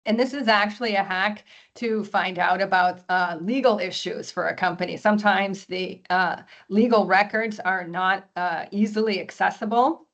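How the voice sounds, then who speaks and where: Woman, mid pitch, losing her voice; webinar presenter on a video call, throat clearing, lots of ums. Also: moderate pace, fairly bright tone, dull audio. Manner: frequent throat clearing, many fillers. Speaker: export presenter.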